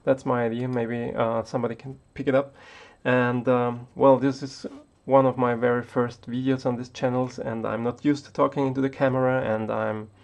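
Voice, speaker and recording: Austrian guy, not english, gravelly low-ish voice, stumbles and restarts a lot talking to camera. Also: flat delivery, normal speed, few pauses, a little mellow. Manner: hesitant, many restarts and fillers. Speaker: tech YouTuber. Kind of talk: unscripted talk to camera.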